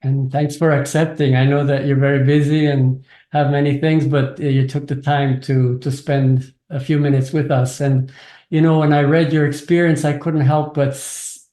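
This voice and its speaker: A man hosting a podcast interview, speaking in a gentle, encouraging way. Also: mid-range voice, level tone, normal speed. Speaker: podcast host. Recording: echoey room.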